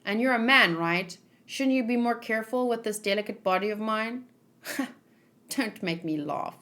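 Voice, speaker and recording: A woman's voice: on the high side, a little slow, fairly bright tone. A woman reads out young manga fighters trading banter. The delivery is blunt and challenging, combative in the way of sparring partners in a comic. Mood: taunting, sparring. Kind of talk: dramatic read-aloud of manga dialogue.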